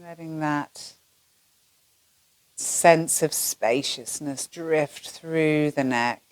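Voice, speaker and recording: A woman teaching yoga nidra, leading a guided relaxation in a soothing, gentle manner with long pauses between phrases. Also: sits low, no room sound, poor audio.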